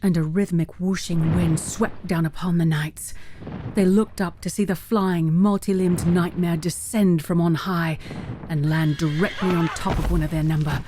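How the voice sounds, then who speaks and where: A woman's voice: fairly low pitch, fairly even intonation, moderate pace, mellow, a little hiss or hum. A woman narrating a fantasy story in a scripted, dramatic storytelling style. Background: faint music.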